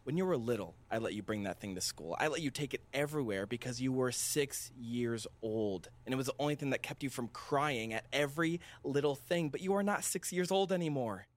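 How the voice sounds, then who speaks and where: A man's voice: medium pitch, lively intonation, fairly quick, few pauses, lightly acted. A man playing a stern, exasperated father in an audio drama, firm and scolding with his young son. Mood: angry, scolding.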